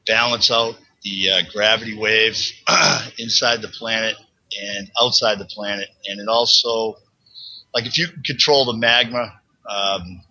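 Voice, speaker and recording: A man with a Boston accent and a low, husky voice with a sharp, tense edge. He rambles through long explanations in a halting way, restarting often. The recording sounds dull and lo-fi. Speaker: guest researcher. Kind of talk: casual interview talk.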